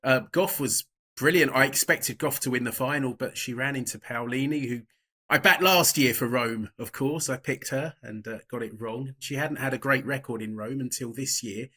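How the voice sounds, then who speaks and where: A man hosts a women's tennis betting podcast in a bright, forceful voice at a mid pitch. He reads the opening brisk and upbeat, then settles into relaxed, self-deprecating chat with his co-host. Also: slightly rough.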